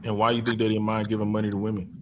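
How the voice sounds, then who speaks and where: Fast-talking low-voiced man, questioning. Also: completely flat delivery, noisy background. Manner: questioning, challenges the others. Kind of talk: casual panel discussion.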